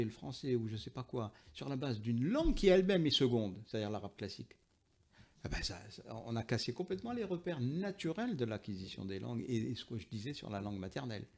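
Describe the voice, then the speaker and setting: A man with a noticeably creaky voice at a middling pitch. He talks as an invited expert in an interview, explaining his position emphatically and rephrasing as he goes. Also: a little mellow. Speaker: interview guest, linguist and author. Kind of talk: explanatory interview talk, argumentative.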